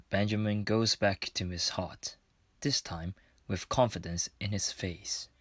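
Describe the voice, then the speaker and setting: A man's voice: deep voice, no echo. A man reading scripted narration as the storyteller of a radio drama.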